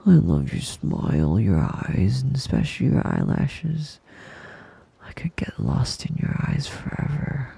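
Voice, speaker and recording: A man playing a possessive, doting yandere wolf boy in an intimate ASMR roleplay, slow and soft, cooing praise and often dropping into a whisper at a close mic. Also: mid-range voice, very animated, creaky, husky, dry recording, exaggerated voice. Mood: adoring.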